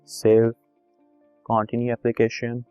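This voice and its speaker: A man whose first language is Urdu, calmly walking viewers through an online form step by step and reading each field aloud. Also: mid-range voice, a little slow, clean tone, no echo, faint music behind. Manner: plain instructive, reads form fields aloud, repetitive. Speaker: video tutorial host. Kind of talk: screen-recorded step-by-step walkthrough.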